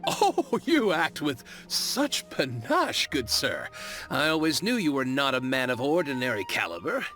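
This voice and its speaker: An actor playing an eager, flattering merchant in a video game, with ingratiating, theatrical sales patter. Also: male voice, medium pitch, a little slow, soft background music, exaggerated voice. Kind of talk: English-dubbed video game acting.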